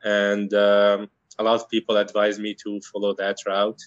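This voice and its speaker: Syrian-born guy, arabic his first language, lowish voice, thinks it through out loud, dry muffled lo-fi audio. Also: completely flat delivery, dry recording. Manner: measured, thoughtful, many ums and restarts. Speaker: guest, internal medicine resident. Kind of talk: interview answers.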